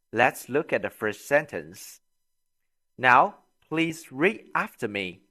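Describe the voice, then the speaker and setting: A man presenting a scripted language lesson as a grammar teacher, instructional and deliberate, with read-after-me drills. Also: mid-range voice, pushed and bright.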